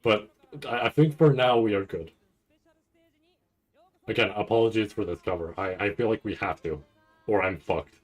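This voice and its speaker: A man's voice: lowish voice, moderate pace, long pauses, faint music behind. A man streaming in a casual, rambling chat, thinking aloud in fragments and often tagging his points with a questioning check. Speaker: streamer hosting a reaction stream.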